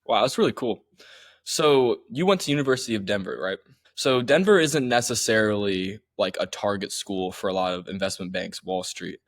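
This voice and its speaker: A man's voice: lowish voice, moderate pace, no echo, studio quality. A young man hosting a podcast, reading an introduction and then asking eager, upbeat questions. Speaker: podcast host.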